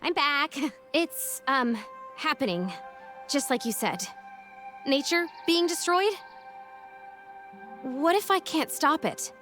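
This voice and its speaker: Young female adventure-game heroine, earnest, playful, lively melody, leisurely pace. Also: high voice, slow pace, pauses often, over music. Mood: earnest, worried. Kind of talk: family video game voice acting.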